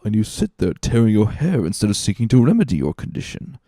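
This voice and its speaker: A man voicing a cunning, smooth-talking, scornful schemer with a measured, condescending manner in a dramatic reading. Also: lowish voice, big pitch swings, mellow, no echo, studio quality. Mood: sly, goading.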